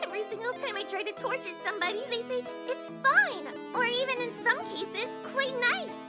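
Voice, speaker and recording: A woman voicing a giant dark goddess in a comedic fantasy drama, grandiose and gleefully villainous yet harmless and naive. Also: extremely high pitch, animated, brisk. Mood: exasperated. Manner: grandiose, theatrical gloating. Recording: music playing loudly behind. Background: loud music.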